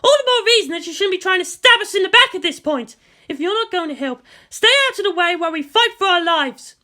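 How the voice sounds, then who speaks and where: Woman playing female military commander, fierce, defiant, forceful bright tone, lively pitch, intense storybook drama. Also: quick pace, full character voice. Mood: defiant, tense. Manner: intense, emphatic, swearing.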